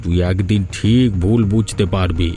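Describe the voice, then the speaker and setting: A man's voice: low-pitched, moderate pace, dark tone, natural voice. A man voicing a stern, fair-minded older father in a cartoon drama, speaking in firm reproach. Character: stern, fair-minded elderly father-in-law defending his daughter-in-law. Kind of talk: dubbed cartoon dialogue.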